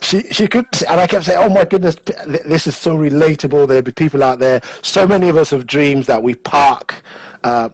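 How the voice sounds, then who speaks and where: A man hosting a casual livestream interview, relaxed and chatty with plenty of fillers. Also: a little high, brisk, slightly rough, dry recording, rough recording. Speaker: host, interviewer. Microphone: video call or phone mic.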